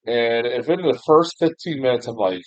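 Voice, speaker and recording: A man's voice: medium pitch, animated, normal speed, dry recording. Rambling, self-mocking American guy. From United States. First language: English. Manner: rambling, self-deprecating, frequent fillers and restarts, laughs often, mild swearing. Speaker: film reviewer. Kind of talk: casual spoken film review. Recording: dull, muffled lo-fi sound, dry.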